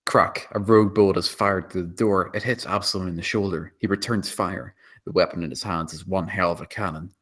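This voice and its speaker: A man's voice: low voice, steady tone, brisk, fairly bright tone. A man reading out stage directions as scripted screenplay narration at a table read.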